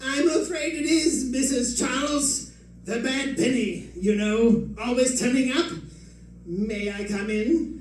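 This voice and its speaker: A man's voice: very high-pitched, slow pace. A man playing a suave, mocking escaped-convict villain in an old-time radio crime drama, silky and taunting. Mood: smugly menacing. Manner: silky, taunting, mock-courteous, chuckling. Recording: echoey live stage, distant mic, faint noise.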